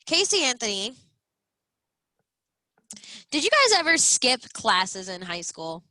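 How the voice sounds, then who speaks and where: A woman in her early twenties with a bright, forceful voice that sits high and swings animatedly. She talks like a blunt podcast co-host, cursing freely and hammering her points home in casual chat. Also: sing-song, slow pace, dry recording. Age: early 20s. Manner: blunt, foul-mouthed, emphatic. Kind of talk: casual true-crime comedy chat.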